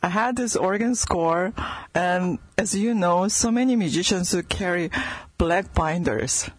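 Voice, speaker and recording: A middle-aged woman with a Korean accent chats easily in a radio studio, laughing often between her words. Her voice is a little husky, and the sound is close and dry. Her English carries small grammar slips from her first language. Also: lowish voice, unhurried, no echo. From South Korea. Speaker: guest organist. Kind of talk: casual radio interview.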